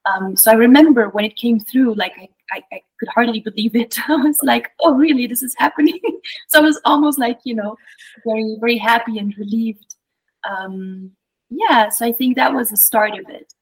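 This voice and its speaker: A woman's voice: on the high side, moderate pace, slightly rough, poor audio. A young adult woman from Belgium, a clinical psychologist, telling her story as an interview guest, hesitant with many fillers and restarts. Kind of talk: casual interview talk.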